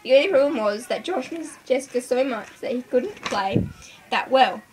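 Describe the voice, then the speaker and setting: A woman's voice: sits high, expressive, moderate pace. A young woman informally reading a school story aloud to friends in a giggly manner. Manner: giggly, breaks off to banter with listeners. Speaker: story reader. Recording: clearly noisy home recording.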